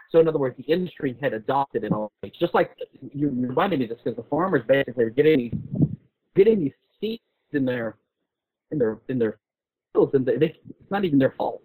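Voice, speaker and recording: A man's voice: mid-range voice, darkish tone, breathy edge. A man explaining as a guest expert in an informal discussion, often repeating and rephrasing his phrases. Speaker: guest expert on patent law. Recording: roomy, phone-like call audio.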